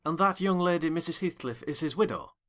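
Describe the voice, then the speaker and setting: A man voicing a curious, polite gentleman tenant in a storybook reading. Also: a little high, animated, brisk, pitched above the performer's own voice. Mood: inquisitive. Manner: polite, inquisitive.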